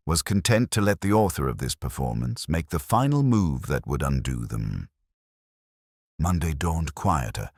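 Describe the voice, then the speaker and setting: Very deep male story narrator. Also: no echo, clean studio sound. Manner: measured, literary storytelling. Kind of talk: scripted audiobook mystery narration.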